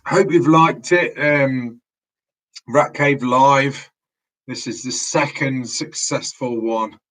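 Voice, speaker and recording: A man's voice: medium pitch, fairly even intonation, slow, fairly bright tone, rough recording. A man hosting a casual live stream from his room at home, losing his voice, rambling and restarting his sentences, heard through a laptop mic. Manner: rambling, restarts often, chatty.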